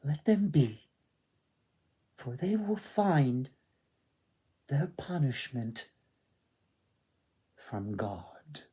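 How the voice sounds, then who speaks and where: A man voicing a gentle young woman in a storybook tale, breathy and pleading. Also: mid-range voice, sing-song, drawn-out words, pauses often, exaggerated voice.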